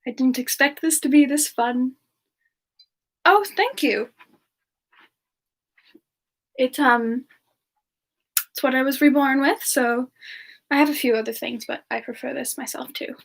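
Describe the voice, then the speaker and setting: A high, slow, sweet voice for a modest ice-powered singer in love played by a woman. She talks softly and playfully, with giggles and pet names, in the intimate style of an in-character semi-ASMR livestream. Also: gravelly, exaggerated voice. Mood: chatty, relaxed. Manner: soft, giggly, endearing chatter with pet names. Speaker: character streamer answering chat questions.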